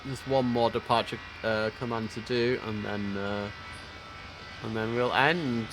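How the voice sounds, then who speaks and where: A man's voice: medium pitch, steady tone, a little slow, background noise. A man hosting a live game stream, chatting enthusiastically as he comments on play. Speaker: livestream host. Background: loud music.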